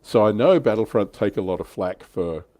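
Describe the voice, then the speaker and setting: A man with a low-pitched voice hosts a relaxed panel chat. His tone is dark and mellow, and the sound is close and dry. He speaks informally, like a regular presenter among fellow hobbyists. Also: moderate pace, no echo. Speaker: host and reviewer on a tabletop wargaming livestream.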